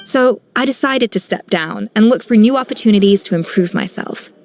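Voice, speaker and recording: A woman voicing a composed grown-up master chef in a video game, calm and gently instructive like a mentor. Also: mid-range voice, moderate pace, lightly acted. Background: soft game music.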